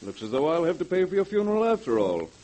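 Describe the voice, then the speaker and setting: An actor playing a smug, cynical wealthy businessman in 1940s radio drama style, sardonic, condescending and deliberate. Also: on the high side, big pitch swings, dark tone. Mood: mocking. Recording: muffled vintage 1940s radio recording.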